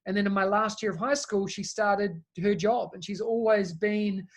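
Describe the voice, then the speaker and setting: A man's voice: sits high, steady tone, normal speed. A man in his thirties from New Zealand with a New Zealand accent, chatting as an interview guest in an upbeat, friendly way. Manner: upbeat, friendly, talks at length with fillers. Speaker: guest, education technology consultant. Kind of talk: casual conversational interview.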